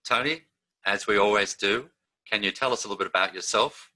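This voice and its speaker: Deep, bright-toned male interview host. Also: a little fast, low-quality recording. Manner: formal, repeats the guest's name before each question. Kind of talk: scripted interview questions.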